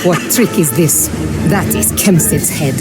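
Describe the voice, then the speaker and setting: A woman's voice: deep voice, measured pace, over loud music. A woman playing a grave, steadfast female warrior in a video game cutscene, speaking solemnly and firmly. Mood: wary. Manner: solemn, firm.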